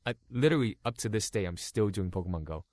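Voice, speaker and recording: A man in his thirties from Los Angeles, whose first language was Cantonese, telling his story in a relaxed interview full of "like" fillers. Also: on the low side, quick pace, no room sound. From Los Angeles, California. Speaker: guest YouTuber.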